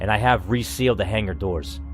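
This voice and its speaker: A man's voice: lowish voice, brisk, close to an everyday voice. A man voicing an older male facility boss, powerful and tired, commanding and curt, in a game story. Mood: gruff, curt. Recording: music under the voice.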